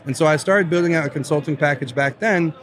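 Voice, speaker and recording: Chatty young man, slightly high voice. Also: fast talker, fairly bright tone. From Southern Virginia. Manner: upbeat, chatty, laughs often, says "right?". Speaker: guest. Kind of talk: casual podcast interview.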